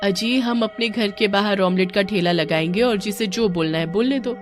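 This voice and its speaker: A woman voicing a bold, sharp-tongued young wife in a cartoon story. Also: medium pitch, normal speed, soft background music, lightly acted. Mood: lively.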